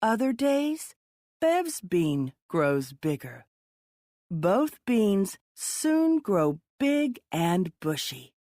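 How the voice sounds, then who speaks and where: A woman reading children's stories aloud in a deliberate, expressive way for young listeners. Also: medium pitch, dry recording, clean studio sound. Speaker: children's storybook reader. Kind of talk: read-aloud children's story narration.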